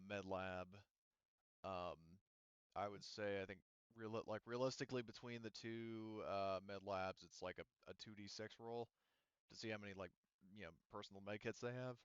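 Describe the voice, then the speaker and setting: Low, smooth-voiced man as game master. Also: dry recording, dull audio. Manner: rambling, thinking aloud, casually profane. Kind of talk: casual tabletop roleplaying game narration.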